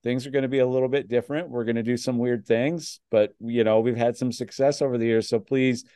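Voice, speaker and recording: A man's voice: medium pitch, fairly even intonation, fairly quick, warm tone, clean tone, no echo, clean studio sound. A man hosting a coaching podcast in a conversational way, with frequent fillers. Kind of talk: casual podcast interview.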